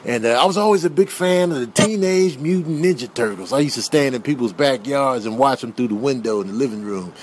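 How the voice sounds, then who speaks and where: A man voicing laid-back, put-upon cartoonish animals in a casual, drawn-out comic voice-over. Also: mid-range voice, lively intonation, background noise, natural voice. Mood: laid-back, earnest.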